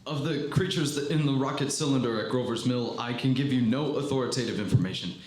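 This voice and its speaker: A man playing a pedantic, measured scientist giving an academic account in a 1930s-style radio play. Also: mid-range voice, a little mellow, natural voice. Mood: measured, grave. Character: pedantic male scientist professor, measured and learned. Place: live stage performance in a hall. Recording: echoey hall, faint noise.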